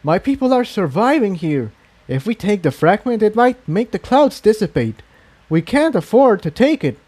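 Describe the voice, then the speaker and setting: A man voicing a sharp-tempered female unicorn with a waspish, defensive way of talking in a storybook reading. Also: high-pitched, big pitch swings, normal speed, pitched above the performer's own voice. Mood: firm, defensive. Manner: waspish, forceful.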